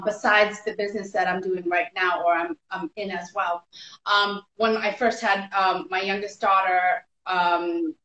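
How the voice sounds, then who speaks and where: Casting: bright, sharp-toned American woman in her thirties, chatty guest, echoey room, dull lo-fi call sound. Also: medium pitch. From United States. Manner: chatty, many fillers like um. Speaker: guest, fitness entrepreneur. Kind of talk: casual interview over a video call. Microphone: video call.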